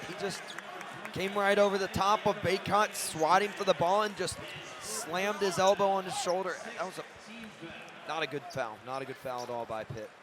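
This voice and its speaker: A man's voice: sits high, moderate pace. An American man giving informal, blunt color analysis during a live basketball broadcast. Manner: informal, blunt, critical asides. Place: courtside in a large indoor arena. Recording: live arena broadcast, noisy crowd behind. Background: crowd, arena noise, bouncing basketball.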